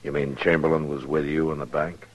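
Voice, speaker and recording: An actor playing a stern, weary frontier lawman, gruff and terse, with an American accent, in an old radio western. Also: very deep voice, little pitch movement, brisk. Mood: grave. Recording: dull, hissy old radio recording.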